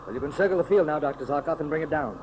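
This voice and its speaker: Guy doing bold commanding radio serial hero, kinda high voice, declamatory, old hissy recording. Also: lively intonation, rapid-fire, natural voice. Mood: brisk, composed. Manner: declamatory, decisive. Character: bold, commanding young hero turned emperor of Atlantis. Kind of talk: 1930s radio serial acting.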